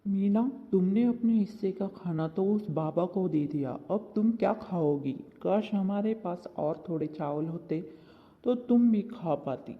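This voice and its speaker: A man's voice: high-pitched, measured pace. Kind, hardworking village father for a cartoon moral tale male actor, muffled lo-fi sound, big reverb tail. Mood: concerned. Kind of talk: moral cartoon story dialogue. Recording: dull, lo-fi sound with long reverb.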